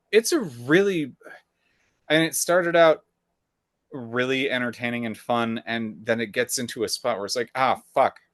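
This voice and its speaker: Male podcast co-host, average pitch, casual conversational delivery, very dry close recording. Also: fairly bright tone, no room sound.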